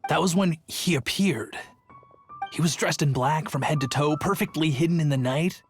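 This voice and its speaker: An actor playing a chatty, sheepish city guard in a video game who tells a long story and gets defensive. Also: male voice, a little high, normal speed, faint music behind, lightly acted.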